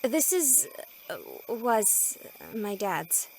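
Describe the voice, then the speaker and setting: Higher-pitched, understated young woman streamer. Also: big pitch swings, very slow, slight background noise, exaggerated voice, poor audio. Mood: hesitant. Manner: hesitant, casual, understated. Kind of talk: visual novel voice acting.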